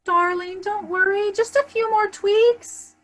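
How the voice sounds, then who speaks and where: A woman's voice: very high voice, fairly even intonation, takes it slowly, fairly bright tone, exaggerated voice. A woman playing a haughty, imperious stepmother who scolds and coos in a children's theatre staged reading. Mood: doting, fussy. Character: haughty, bossy stepmother, doting on her favourite daughter.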